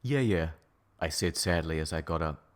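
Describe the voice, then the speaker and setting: A man's voice: extremely low pitch, lively intonation, moderate pace, a little hiss or hum. A man reading fan fiction mock-seriously as a melodramatic, sulky, foul-mouthed teenage goth girl narrator.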